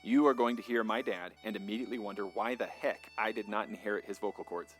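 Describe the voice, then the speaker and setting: A man's voice: lowish voice, quick pace, fairly bright tone. A man hosting a podcast, chatting casually with lots of ums and self-deprecating asides. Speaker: podcast host. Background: faint bagpipe and whistle music.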